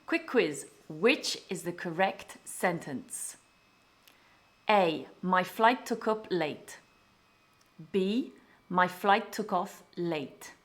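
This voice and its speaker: A British woman with a British English accent, presenting a scripted online English lesson in a clear, upbeat teaching manner. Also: fairly low pitch, lively intonation, extremely slow. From Britain.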